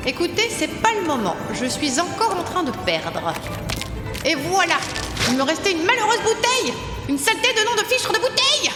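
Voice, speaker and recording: A woman's voice: extremely high pitch, big pitch swings, normal speed. A woman playing a grumpy, dismissive talking computer in a comic sci-fi audio drama, testy and curt. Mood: irritated. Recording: echoey hall, music behind.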